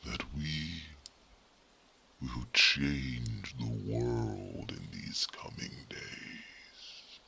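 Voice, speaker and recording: A man voicing a wise, solemn male companion to a young dragon, speaking in a slow, grave whisper in an ASMR fantasy roleplay. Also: a bass voice, lively intonation, gravelly, sharp tone, full character voice.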